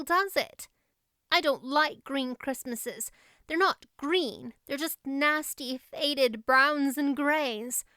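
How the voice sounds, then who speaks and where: Woman voicing an excitable, high-pitched young girl. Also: no echo, clean studio sound, exaggerated voice. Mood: mild disdain. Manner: exclamatory, breathless with wonder. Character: excitable, gushing young orphan girl in a storybook.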